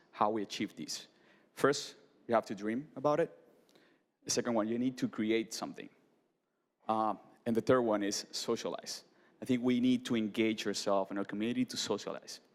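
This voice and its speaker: A man from Colombia giving a design presentation to an audience, pausing often with fillers and restarts. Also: medium pitch. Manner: halting, many fillers and restarts. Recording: reverberant hall.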